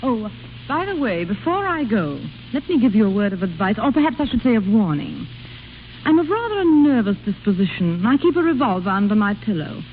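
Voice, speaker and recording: Through a thin, scratchy old radio recording comes a proud, imperious grand lady. Her voice sits fairly high and swoops dramatically as she commands and questions sharply, in vintage radio drama style. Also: very animated, fairly quick, narrow, tinny audio. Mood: haughty. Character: haughty, imperious exiled noblewoman, proud and easily offended. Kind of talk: 1930s radio drama acting.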